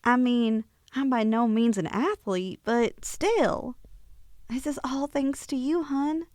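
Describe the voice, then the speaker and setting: A woman plays a sweet young woman in an ASMR roleplay, bashful and affectionate. Her voice sits high and she takes her time, hesitating softly between thoughts. The sound is close and very dry. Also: slow pace, dry recording. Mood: tired, grateful. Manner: soft, hesitant, affectionate, sighing. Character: sweet, bashful young woman shrunk tiny, tenderly confessing feelings.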